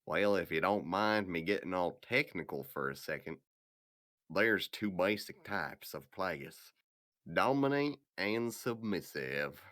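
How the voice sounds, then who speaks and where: A man's voice: low voice, animated, unhurried. A man voicing a chatty, smug parasite creature in a video game dub, with folksy, breezy patter. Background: loud music.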